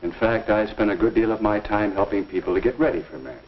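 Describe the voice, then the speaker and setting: An actor playing a genial, earnest minister counseling young people in an avuncular, folksy 1950s instructional film style. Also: male voice, fairly low pitch, a little hiss or hum, natural voice. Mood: genial, probing. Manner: avuncular, measured, folksy lecturing. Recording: dull 1950s film soundtrack.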